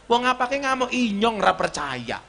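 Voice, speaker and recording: A middle-aged man with a Javanese accent preaching a humorous sermon on an outdoor stage into a handheld mic, joking and swinging between shouts and low asides. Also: sing-song, measured pace, creaky, a little hiss or hum. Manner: walks the stage with a cane. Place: outdoor stage before a large crowd.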